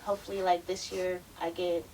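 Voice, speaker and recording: A woman with a squeaky voice, a rapper talking confidently as a podcast guest. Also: level tone, fairly quick, a little mellow. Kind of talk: casual podcast chat. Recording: distant mic with faint hiss.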